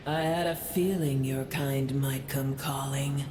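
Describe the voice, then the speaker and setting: A woman's voice: extremely low pitch, steady tone, extremely slow, background noise, heavily acted. A woman voicing an ancient, vengeful dragon in an anime game, scornful, menacing and grandiose. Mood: gloating, bitter.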